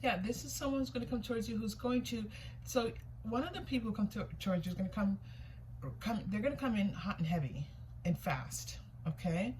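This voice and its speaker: A woman's voice: mid-range voice, brisk, darkish tone, low-quality recording. Woman reading tarot over noticeable background noise. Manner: conversational and informal, repeats herself, muses and questions herself. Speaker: tarot reader hosting a reading. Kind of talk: casual intuitive card reading, thinking aloud. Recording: clear background noise, room ambience.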